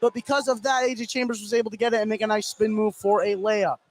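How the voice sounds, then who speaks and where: A man's voice: high-pitched, flat delivery, quick pace. A man giving live play-by-play basketball commentary on the radio, narrating the action in running, conversational fragments. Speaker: play-by-play announcer. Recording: clear ambient noise behind commentary.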